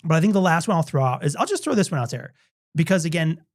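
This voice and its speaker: A man in his thirties co-hosting a podcast, chatting about books in a relaxed way with lots of fillers. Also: fairly high pitch, quick pace, no echo, studio quality. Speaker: podcast co-host. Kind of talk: casual conversation about books.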